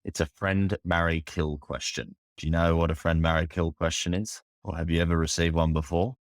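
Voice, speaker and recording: A man's voice: sits low, steady tone, fairly quick, dry recording, clean studio sound. A man hosting an investing podcast, reading an upbeat scripted intro and then asking chatty questions. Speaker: podcast host. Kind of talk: hosting an interview.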